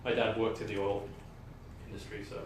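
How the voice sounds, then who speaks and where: A man's voice: on the low side, level tone, a little fast. A man in his thirties with a mixed colonial English expat accent, chatting casually as a game designer guest, rambling with many fillers and frequent laughs. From England. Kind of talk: casual interview chat. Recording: echoey room, distant mic, faint noise.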